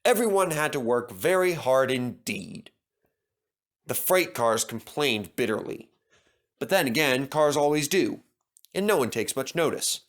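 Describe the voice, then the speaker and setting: A man's voice: medium pitch, sing-song, measured pace. A man reading a children's railway story as scripted narration.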